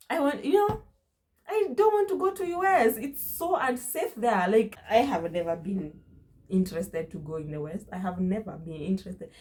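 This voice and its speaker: A woman's voice: mid-range voice, dark tone. A young woman with a Kenyan accent, talking to her vlog audience in an emphatic way and repeating phrases for stress. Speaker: vlogger. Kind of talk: casual opinion vlog.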